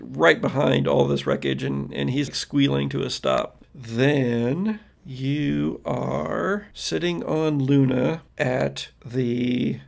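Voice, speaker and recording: A man works as game master, narrating an improvised tabletop story. His voice is heavy with fry and his pace is slow. He sounds conversational and wry, often halting as he builds the scene. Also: medium pitch, fairly even intonation.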